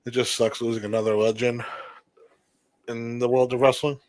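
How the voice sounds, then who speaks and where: A man's voice: medium pitch, flat delivery, moderate pace, darkish tone, no room sound. A man co-hosting a podcast, giving casual, opinionated commentary on wrestling. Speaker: podcast co-host.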